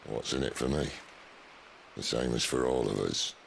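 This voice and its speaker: An actor playing a weary, wry, middle-aged outlaw leader in a video game. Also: male voice, slight background noise, full character voice. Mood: earnest. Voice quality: deep gravelly. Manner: wry, unhurried. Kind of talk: video game cutscene acting.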